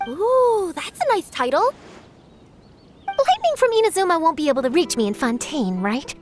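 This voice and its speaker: A woman's voice: very high voice, very animated, normal speed, a little hiss or hum. A woman playing a breezy, playful young reporter in a video game, with a chatty, headline-style way of talking. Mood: pleased. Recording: loud music behind the voice. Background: game music.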